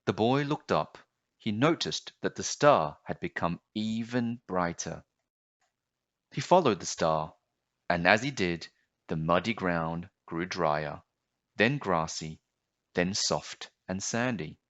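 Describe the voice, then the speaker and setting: Male storybook narrator: low voice, slow and expressive, animated pitch, slightly dull recording. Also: no echo. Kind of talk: children's read-aloud narration.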